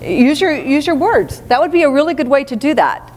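A woman with a fairly high voice gives a seminar keynote in a brisk, practical, no-nonsense manner. Her words ring out with the long reverb of a big event hall. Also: sing-song, a little mellow, slight background noise. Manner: brisk, practical, direct advice. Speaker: keynote speaker. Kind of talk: live seminar talk.

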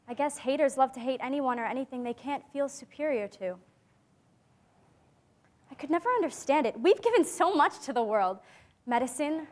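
A young woman playing an earnest, hopeful teenage girl in a staged dramatic reading, measured in her delivery. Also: sits high. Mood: reflective. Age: young adult. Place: theatre stage before a seated audience. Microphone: clip-on lapel mic.

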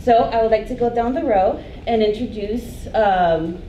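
A woman's voice: on the high side, measured pace, mellow, a little hiss or hum. A young woman curator moderating an art panel, earnest and thankful. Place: dark theater stage. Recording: echoey hall mic from far off.

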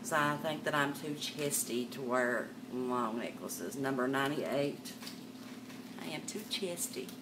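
Older woman host, low voice, chatty live-sale patter, webcam a bit far off with room noise. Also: a little slow. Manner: chatty, calls viewers darling and miss. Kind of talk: live sales stream, chatting to viewers. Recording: distant mic with background noise.

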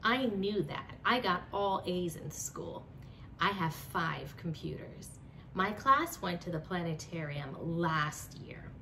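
Storybook show-off schoolgirl, read by a woman: smug, competitive, lively pitch, emphatic bragging. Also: takes it slowly, creaky, recorded from a distance, slight background noise, exaggerated voice, rough recording.

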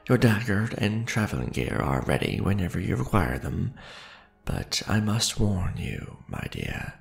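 An ancient vampire lord played by a man speaks in a heavily creaky voice that swings widely in pitch. He is formal and grandiose, a devoted yet ruthless aristocrat in an ASMR roleplay. Faint music sits behind his gravelly words. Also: heavily acted. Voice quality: deep, gravelly. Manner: formal, grandiose, deliberate phrasing with pauses.

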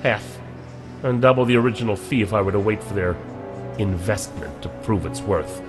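An actor playing a proud, middle-aged scientist who talks in an indignant, clipped way. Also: male voice, lowish voice, moderate pace, over music, close to an everyday voice.

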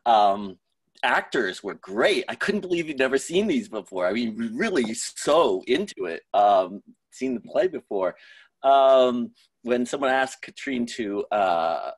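A man in a casual, reflective discussion on a video call, halting and thoughtful as he searches for words. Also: medium pitch, sing-song, breathy edge, dry recording. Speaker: writing teacher and author, audience member giving feedback. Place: indoors, online video call. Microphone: video call microphone.

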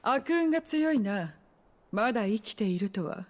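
An actress playing an eccentric, self-assured military scholar in a video game, cool and pompous with blunt phrasing. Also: female voice, mid-range voice, expressive, unhurried, soft background music, natural voice. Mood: wry, haughty. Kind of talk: Japanese video game cutscene acting.

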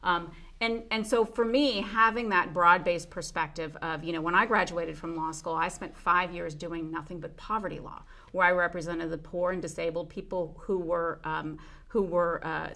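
A woman's voice: lowish voice, fairly bright tone. A woman in her forties, answering studio interview questions earnestly and with some fillers. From Puerto Rico and the United States. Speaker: judicial candidate. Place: small studio with dark curtains. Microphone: lapel mic.

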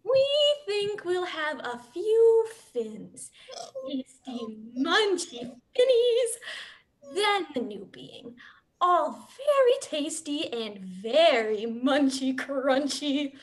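A woman's voice: sits high, very animated, drawn-out words, exaggerated voice. A young woman playing a sly, hungry male shark with babyish sing-song phrasing in a play reading. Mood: greedy, indignant. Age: young adult. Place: small dim room indoors. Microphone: computer mic on video call.

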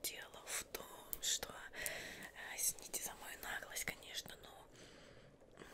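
A woman's voice: slow pace, lightly acted. A young woman playing a fussy, anxious but polite witch seamstress in a whispered ASMR roleplay, muttering and repeating herself close to the microphone. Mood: hesitant, polite. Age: young adult. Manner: muttering, repeating words, fretful. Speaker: ASMR performer. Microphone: close sensitive microphone.

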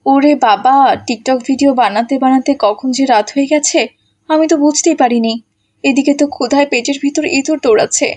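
Woman acting a brash, high-pitched cartoon wife. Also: a little fast, darkish tone, natural voice. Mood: whining. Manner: defiant, whining, dramatic. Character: brash, selfish, stubborn social-media-obsessed wife.

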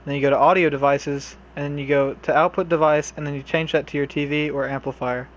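A man's voice: a little high, fairly even intonation, highs cut off. Young male streamer, loose and profane, talks over a console headset with faint hiss behind. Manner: loose, swearing, gives quick directions. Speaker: streamer playing a horror survival game. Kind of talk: casual gameplay chat with teammates. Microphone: console headset mic.

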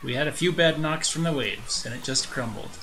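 A man's voice: mid-range voice, normal speed, bright, forceful tone, slight background noise, voice pushed up, lightly acted, low-quality recording. A man voicing a grave, wistful lighthouse keeper in a dramatic audio story, ruminative in manner.

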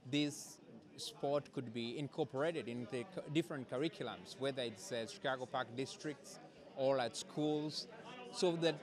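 A man from Kenya with a Kenyan accent, answering documentary interview questions in a halting, thoughtful way. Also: medium pitch, crowd noise.

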